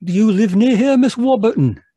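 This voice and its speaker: A man playing a pompous, patronising Victorian gentleman doctor and fond husband in an old-time radio mystery, with a husky voice. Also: high-pitched, moderate pace, dry recording. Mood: stiffly courteous. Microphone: video call, close and dry.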